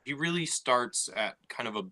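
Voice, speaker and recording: A man's voice: medium pitch, expressive, moderate pace, a crowd in the background. A man co-hosting a podcast, talking casually with many fillers and restarts as he thinks aloud. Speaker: podcast co-host. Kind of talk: casual discussion.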